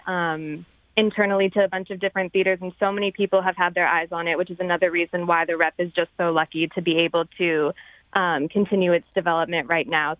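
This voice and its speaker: A thin, phone-like remote line carries an American woman's voice with no room echo. She speaks conversationally as a guest in a radio interview. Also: lowish voice. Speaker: theater producer interview guest.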